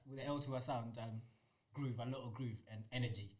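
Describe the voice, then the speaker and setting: A man's voice: mid-range voice, steady tone, a little fast, a crowd in the background. A man whose first language is Swahili, a music group member chatting as a guest, laughing and repeating words. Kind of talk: casual interview chat.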